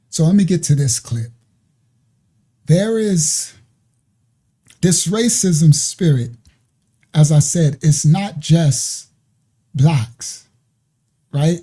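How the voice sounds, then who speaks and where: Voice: mid-range voice, takes it slowly, pauses often, warm tone, husky. An online ministry host giving loose, casual commentary over video clips, often tagging "right?" onto sentences. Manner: talks loosely, with repeated "right?" and "you understand?" asides.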